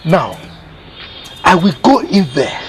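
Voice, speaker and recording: A blustering Nigerian village father with a very high voice for a man. His delivery swings widely as he scolds and threatens, in film comedy-drama style. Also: wildly swooping pitch, slow pace, a little hiss or hum, full character voice. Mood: angry, threatening.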